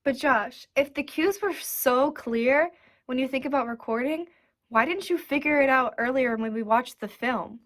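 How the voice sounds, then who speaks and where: High, inquisitive actress as a young woman. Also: moderate pace, a bit bright. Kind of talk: staged radio play dialogue.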